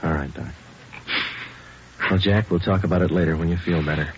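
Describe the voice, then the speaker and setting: An actor playing a terse, deadpan male police detective sergeant in a vintage radio crime drama. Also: low-pitched, brisk, husky. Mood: calm questioning. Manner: clipped, matter-of-fact, deadpan. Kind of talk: 1940s-50s radio police drama acting and narration. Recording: noisy muffled old radio recording with clicks.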